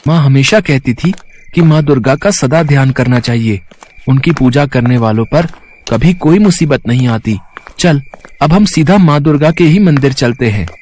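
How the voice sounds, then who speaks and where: A man playing a devout, gentle village boy, a protective older brother who is polite and earnest. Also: medium pitch, animated, normal speed, a little hiss or hum, natural voice. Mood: reassuring.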